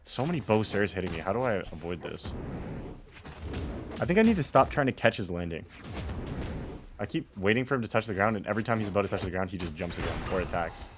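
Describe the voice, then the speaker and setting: A man's voice: on the low side, expressive, quick pace, long pauses, background noise. A man giving casual, off-the-cuff commentary on his own game replay in a rambling, wry and self-deprecating way.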